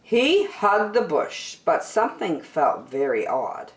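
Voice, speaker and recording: A woman reading a children's storybook aloud as storyteller, expressive and emphatic, drawing out key words. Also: on the low side, slow pace. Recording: echoey room.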